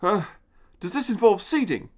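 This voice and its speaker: A man's voice: sits high, expressive, normal speed, voice pushed up. A man voicing a nervous, kindly young man in an audio story, hesitant, stammering and politely awkward.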